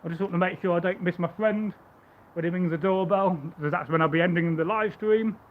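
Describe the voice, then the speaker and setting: A man with a loud voice, pitched a little high, talks his way through a live stream about Viking gear. He rambles and restarts his sentences. The audio is thin and phone-like, with faint hiss behind it. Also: steady tone, a little fast. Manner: rambling, chatty, stammering restarts. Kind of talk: casual live stream chat and demonstration.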